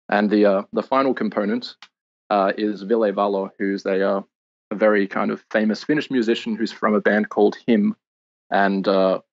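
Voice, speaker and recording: An Australian man, a game lead designer, answering interview questions in a measured, explanatory way with filler pauses. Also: on the low side, creaky. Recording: dull lo-fi audio.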